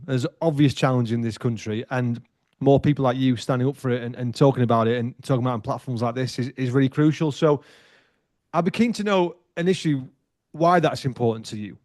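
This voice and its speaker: A man's voice: mid-range voice, a little mellow, dry recording, studio quality. A man of forty hosting a podcast in casual chat, loose and talkative with plenty of restarts. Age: 40s. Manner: chatty, loose with many restarts and fillers. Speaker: podcast host.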